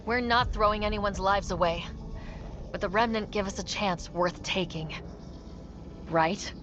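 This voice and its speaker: An actress playing an earnest, determined young expedition leader in a dramatic video game scene. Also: female voice, mid-range voice, unhurried, noisy background, natural voice. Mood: resolute. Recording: dull sound with music.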